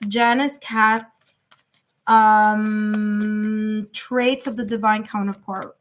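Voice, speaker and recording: A woman's voice: medium pitch, little pitch movement, drawn-out words. A woman with a New York accent giving live tarot readings in a chatty, reassuring way, thinking aloud with fillers. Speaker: tarot reader.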